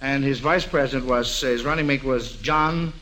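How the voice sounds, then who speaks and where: A man's voice: mid-range voice, moderate pace, pushed and bright. A man hosting a 1940s radio quiz show in a folksy, avuncular way, repeating answers back, on an old broadcast recording.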